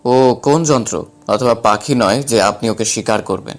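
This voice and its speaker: A man playing a protective, defiant young husband, the calm hero of an audio story, with a firm manner. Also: mid-range voice, moderate pace, natural voice. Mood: firm, challenging.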